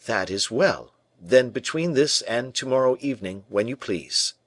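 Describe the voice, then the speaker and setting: A man's voice: medium pitch, expressive, measured pace, dry recording, voice pushed up. A man voicing a young French king who asks curt, imperious questions in a raised voice. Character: young king, commanding and guarded.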